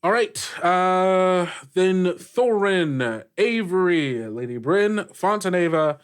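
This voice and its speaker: A man's voice: high voice, animated, slow, clear, resonant tone. Casual, emphatic man running a game. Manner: informal, emphatic, thinking aloud. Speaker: game master. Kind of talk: casual tabletop roleplay discussion.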